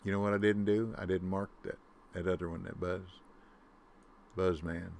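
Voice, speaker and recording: Low-voiced man humming over his game. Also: flat delivery, normal speed, vocal fry, a little mellow, a little hiss or hum. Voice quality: deep voice. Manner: muttering to himself, humming nonsense tunes. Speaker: gaming YouTuber. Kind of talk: casual gameplay commentary.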